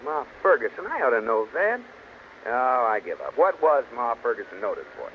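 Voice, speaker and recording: An actor playing an exasperated, wry American husband who grumbles sarcastically in an old-time radio comedy. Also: male voice, mid-range voice, sing-song, moderate pace, dull audio, natural voice. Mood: grumbling. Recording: thin, noisy old radio recording.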